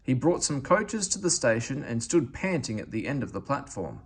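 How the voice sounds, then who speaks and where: Guy reading a kids book out loud, really expressive, bit of husk in his voice. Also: mid-range voice, normal speed, husky. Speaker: storybook reader. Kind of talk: expressive read-aloud narration.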